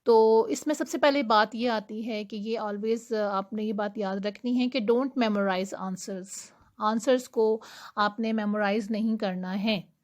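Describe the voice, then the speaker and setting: A young woman teaching IELTS speaking tips, giving her English tips slowly and deliberately between quicker explanations. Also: mid-range voice, steady tone. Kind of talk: explanatory lesson talk.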